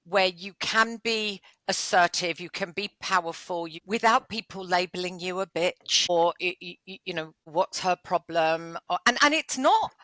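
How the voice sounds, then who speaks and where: A British woman hosting a conversational interview, with a loud voice and a blunt, outspoken manner. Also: lowish voice, normal speed, hardly any pauses, dry recording. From United Kingdom. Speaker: podcast host.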